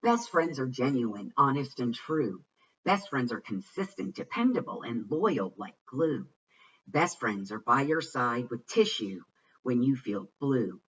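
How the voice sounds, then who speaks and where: A man giving an expressive read-aloud of a rhyming children's picture book, with deliberate, dramatic phrasing. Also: medium pitch, husky. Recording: muffled, distant microphone.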